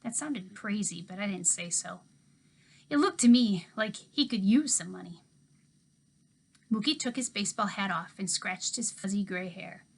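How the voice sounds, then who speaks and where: A woman teacher reading a children's novel aloud to her class, expressive, dropping to a hush for asides. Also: mid-range voice, normal speed, creaky, husky. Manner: expressive storytelling, hushed asides.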